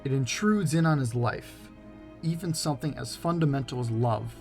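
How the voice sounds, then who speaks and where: A man's voice: mid-range voice, moderate pace, a little mellow, soft background music. A man reading scripted narration for a video essay.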